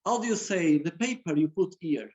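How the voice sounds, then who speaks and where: A man giving a lecture as a guest speaker, in halting with repeated phrases. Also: a little high, animated, darkish tone, muffled recording. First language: Portuguese. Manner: halting, non-native English with repeated phrases. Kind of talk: religious community lecture.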